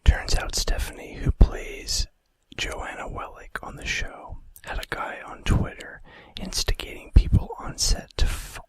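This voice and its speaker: A man in a soft, breathy, unvoiced whisper, reading out facts in an unhurried, casual ASMR style, close to the microphone. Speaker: ASMR video host.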